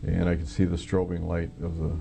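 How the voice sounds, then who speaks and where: Deep, gravelly, flat-toned American man. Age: middle-aged or older. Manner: halting, plainspoken, matter-of-fact. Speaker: interviewee, former Air Force air traffic controller. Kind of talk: recounting a personal experience in an interview. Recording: guitar music playing behind the voice. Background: plucked guitar music.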